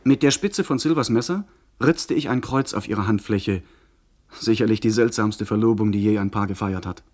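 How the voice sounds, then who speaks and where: An actor in a radio play narrating as a young gentleman adventurer in a measured, literary way. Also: lowish voice, close to an everyday voice. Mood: measured, reflective. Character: young gentleman adventurer recounting his own tale.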